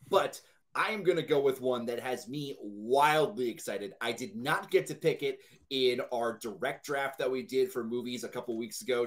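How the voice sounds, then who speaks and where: A man talking casually and enthusiastically as a panelist on a podcast. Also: mid-range voice. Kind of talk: casual chat.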